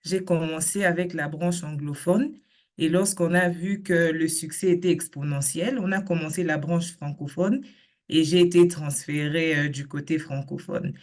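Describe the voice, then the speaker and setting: A woman's voice: sits low, steady tone, moderate pace, darkish tone. A woman from the Democratic Republic of the Congo, a technician giving a polite, slightly hesitant self-introduction.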